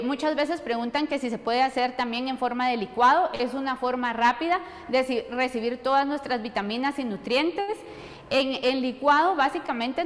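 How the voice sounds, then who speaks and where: A middle-aged woman explaining things as a nutrition expert answering questions. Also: sits high. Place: studio set. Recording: long hall reverb.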